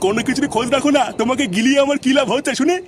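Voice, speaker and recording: A man's voice: very high voice, steady tone, rapid-fire, over loud music. A man voicing a foul-mouthed, nagging husband and father in a comic cartoon. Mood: angry, taunting. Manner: scolding, coarse, sarcastic.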